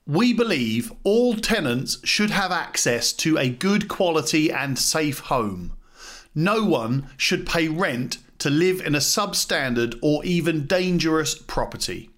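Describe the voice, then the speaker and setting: A man giving a measured, formal read-aloud narration of a written document, as a podcast host. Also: fairly high pitch, big pitch swings, a bit bright.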